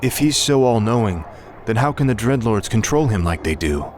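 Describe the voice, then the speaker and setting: An actor playing a cold, arrogant young prince turned death knight in a video game, menacing and imperious. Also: male voice, fairly low pitch, lively intonation, moderate pace, a little hiss or hum, natural voice. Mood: questioning. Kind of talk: video game cutscene acting.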